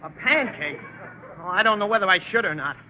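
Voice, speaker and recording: An actor playing an earnest, naive, lovesick teenage schoolboy with eager, plaintive delivery in a 1940s radio sitcom. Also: male voice, high voice, expressive, brisk. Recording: muffled, faintly noisy old radio recording.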